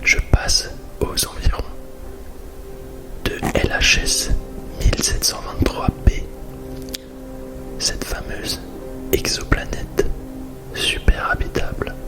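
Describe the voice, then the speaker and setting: A man playing a calm, methodical astronaut explorer in a whispered ASMR roleplay, giving slow, measured status reports. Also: close to an everyday voice, low-quality recording. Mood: focused, concerned. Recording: music behind the voice.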